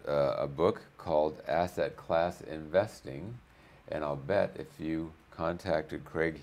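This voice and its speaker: A man hosting an interview show, slightly hoarse from a cold, chatty with frequent fillers. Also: rumbling low, a little mellow. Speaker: host.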